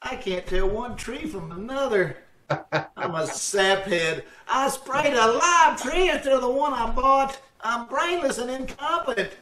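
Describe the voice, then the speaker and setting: Man as high, bright blustering husband. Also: expressive, unhurried, recorded from a distance, full character voice. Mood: self-berating. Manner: folksy slangy wisecracking. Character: blustering, wisecracking, hapless husband. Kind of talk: old-time radio comedy acting. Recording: roomy, noisy online call, off mic.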